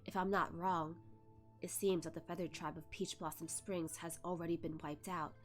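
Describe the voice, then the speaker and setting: A woman voicing a calm, cold male master who speaks low and measured. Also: faint music behind, natural voice.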